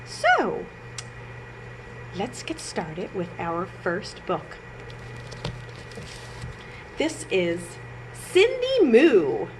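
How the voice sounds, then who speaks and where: A woman reading a picture book aloud to young children in a sing-song, emphatic way, pausing to comment as she goes. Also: mid-range voice, wildly swooping pitch, noisy background. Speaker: children's librarian storytime reader.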